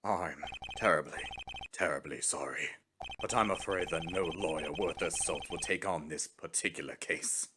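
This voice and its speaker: A man voicing a pompous, blustering older lawyer in a video game fan dub, theatrical and evasive, with hums and throat-clearing. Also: sits low, moderate pace, bright, forceful tone, a little hiss or hum. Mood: grave, regretful.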